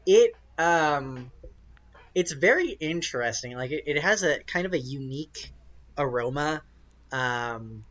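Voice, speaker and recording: A man runs a tabletop game, improvising scenes in a casual, halting way. His voice sits at an average pitch, and the recording is slightly dull. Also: pushed and bright. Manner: halting, casual, searching for words. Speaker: game master.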